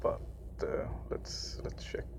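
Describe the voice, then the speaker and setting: A young man from Sweden with a Swedish accent, for whom English is a third language, giving casual gameplay commentary in a halting way, often searching for words. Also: in a whisper. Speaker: gaming video creator.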